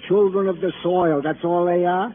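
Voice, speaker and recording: Male, acting pompous, complaining police sergeant for old radio drama; fairly high voice, thin vintage sound, faint noise. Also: steady tone, moderate pace, phone-quality sound, close to an everyday voice. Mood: exasperated, grumbling. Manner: pompous officialese mixed with complaining. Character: fussy, grumbling, comic male police sergeant. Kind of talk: 1950s radio comic-relief acting.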